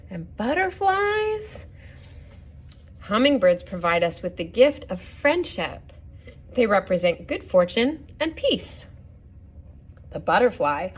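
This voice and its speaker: Woman reading a children's story aloud. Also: a little high. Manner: gently instructive, puts questions to the listeners. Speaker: children's story time host and reader. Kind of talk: reading a picture book aloud with asides to children.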